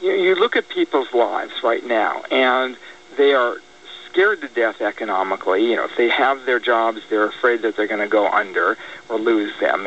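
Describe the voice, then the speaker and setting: Halting American man on dull, distant radio. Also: medium pitch, a bit bright, slight background noise. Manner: halting, repeats words as he reasons. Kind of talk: political radio discussion. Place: radio broadcast.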